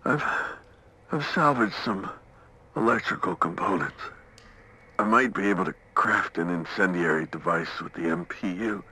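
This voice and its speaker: A man playing a tormented space commander in a sci-fi video game, with a husky, strained voice and halting delivery. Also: low-pitched, full character voice, rough recording. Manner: halting, swinging into forceful outbursts. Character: tormented, unhinged male space commander recording a log. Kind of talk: dramatic video game voice acting.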